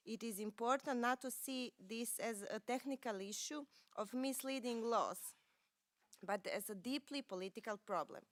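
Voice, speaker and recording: A woman's voice: on the high side, a bit bright, dry recording. A woman from Serbia, a native Serbian speaker with a Serbian accent, delivering a prepared political speech.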